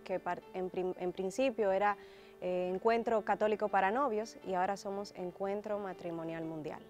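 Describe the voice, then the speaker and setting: A Dominican woman in her thirties tells her story to camera in a calm, measured way. Her voice is mid-pitched and steady. Soft music plays faintly behind her. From Dominican Republic. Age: 30s. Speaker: guest. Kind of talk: personal testimony to camera. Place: TV studio, dark backdrop. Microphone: lapel mic.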